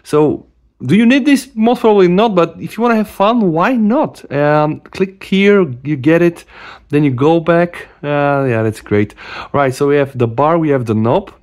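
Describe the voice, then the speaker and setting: A middle-aged man giving a casual hands-on phone demo in an animated, exclamatory way. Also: fairly high pitch, unhurried. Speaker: tech reviewer. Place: small room at a desk, indoors.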